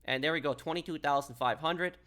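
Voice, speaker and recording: A man's voice: a little high, fairly quick, bright, forceful tone. A man giving a casual, step-by-step gameplay tutorial in an instructional way, like an online gaming guide host.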